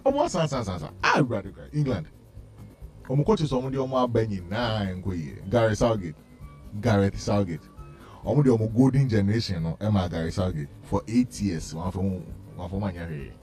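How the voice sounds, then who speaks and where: Man, ghanaian accent, radio sports host, low voice, chatty and repetitive, music bed under the talk. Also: brisk. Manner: animated, laughing, repeats phrases. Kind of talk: casual bilingual radio banter. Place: radio studio.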